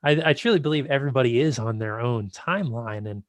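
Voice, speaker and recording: A man of twenty-five hosts a casual podcast chat, agreeable and loose with his words. His voice sits at an ordinary middle pitch. The sound is dry and close. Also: quick pace, no echo. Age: 20s. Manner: easygoing, agreeable, loose with fillers. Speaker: podcast host.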